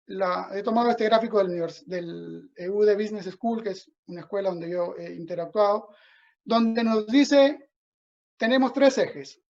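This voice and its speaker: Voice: medium pitch, a little mellow. A lecturer with a Peruvian accent giving an explanatory business webinar in a didactic way, often checking understanding with short tag questions. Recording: dull lo-fi webinar audio.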